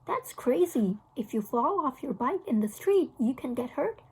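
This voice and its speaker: Woman playing an eager, high-voiced little boy. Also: very animated, normal speed, darkish tone, husky, full character voice, rough recording. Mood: earnest. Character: excitable, candid little boy, younger brother.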